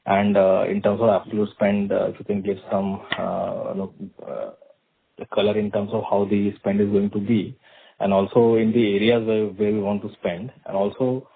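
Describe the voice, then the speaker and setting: Male analyst, Indian accent, low husky voice with flat intonation, politely putting halting questions over a phone line. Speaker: financial analyst asking questions. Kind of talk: earnings call questioning. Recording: muffled, narrow phone line.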